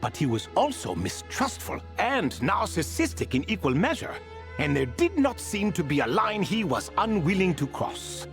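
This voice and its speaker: A man's voice: high-pitched, huge pitch swings, moderate pace, creaky, soft background music, heavily acted. An actor playing an ancient ibis king spirit in a video game, courteous and wistful, with a theatrical, leisurely storytelling manner. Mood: musing, amused.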